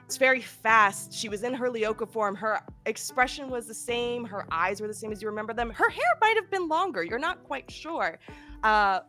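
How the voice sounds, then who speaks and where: A woman's voice: fairly high pitch, expressive, normal speed, pushed and bright, faint music behind. A woman game master with a cold and a nasal voice, recapping the last session in a chatty, giggly way with many fillers. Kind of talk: narrating a tabletop game recap.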